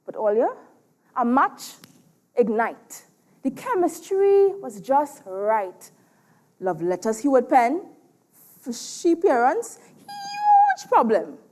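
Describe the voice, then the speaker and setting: A Trinidadian woman delivers spoken word poetry with a theatrical, emphatic style. Her voice sits very high and moves widely in pitch. She takes her time, leaning on dramatic pauses. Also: huge pitch swings, a little mellow. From Trinidad and Tobago. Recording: live stage performance.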